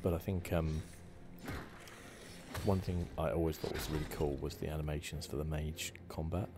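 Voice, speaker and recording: A man giving relaxed ASMR game commentary, musing and rambling with a hesitant delivery. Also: a bass voice, normal speed, noisy background. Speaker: gaming channel host.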